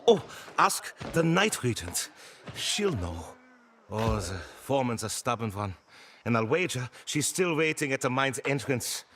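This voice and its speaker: An actor playing a plain-spoken, honest miner in a video game, with a hearty, folksy manner. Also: male voice, medium pitch, sing-song, unhurried, fairly bright tone. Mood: earnest. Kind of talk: video game character dialogue.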